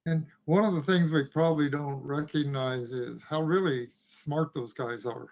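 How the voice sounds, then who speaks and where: A man's voice: fairly high pitch, steady tone, moderate pace, darkish tone, dull audio. A man on a video call makes an earnest point, laughing at himself. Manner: earnest, with self-deprecating laughs. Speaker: webinar participant. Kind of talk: casual comment.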